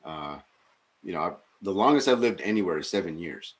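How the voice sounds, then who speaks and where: Deep-voiced man in his forties, musing. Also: expressive, a little fast. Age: 40s. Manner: rambling, reflective, many fillers. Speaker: podcast co-host. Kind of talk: casual conversation.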